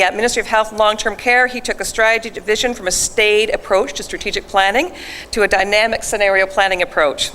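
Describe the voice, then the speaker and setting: A woman's voice: mid-range voice, sharp tone. Woman presenting at an awards gala. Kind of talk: reading a prepared introduction. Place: event hall. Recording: echoey hall with faint noise.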